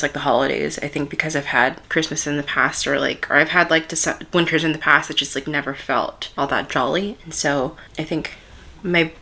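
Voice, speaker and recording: Late 20s woman vlogging her makeup, kinda hoarse and stuffy from a cold, low-ish but bright voice, rambly and honest. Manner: soft, rambling, candid. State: congested. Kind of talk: casual chat while doing makeup. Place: at home.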